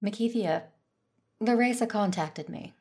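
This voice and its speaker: A woman playing an earnest, restrained Jedi who narrates her own story in a measured way for an audio drama. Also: mid-range voice, darkish tone, husky. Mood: measured, serious.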